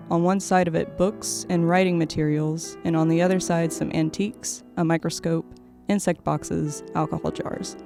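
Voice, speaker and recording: A woman's voice: on the low side, vocal fry, warm tone. A woman reading scripted stage directions as the narrator of an audio play.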